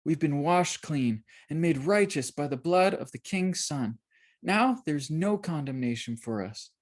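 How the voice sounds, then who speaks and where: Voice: mid-range voice, lively intonation, a little slow, voice pushed up. A narrator voicing a brave, faithful girl who leads young pilgrims, speaking firmly and earnestly in a storybook. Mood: resolute. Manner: firm, earnest, declarative.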